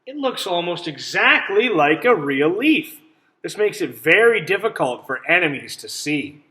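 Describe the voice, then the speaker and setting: A man reading a picture book aloud to children as a teacher, pausing to ask simple questions and explain things. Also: sits high, big pitch swings, pushed and bright. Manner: instructive, checks understanding with simple questions.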